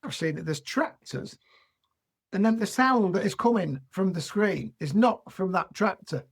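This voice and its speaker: A man's voice: high voice, expressive, normal speed, gravelly, husky. A middle-aged man with a Yorkshire accent, a farmer guest chatting in a down-to-earth, rambling way. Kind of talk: casual chat.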